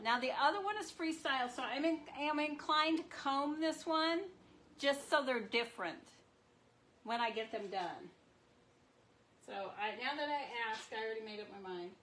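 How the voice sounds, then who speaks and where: A middle-aged woman with a sniffly cold, chatting her way through a live craft demo and softly exclaiming as she works. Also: sits high. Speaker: marbling artist streaming live. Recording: echoey room with faint background noise.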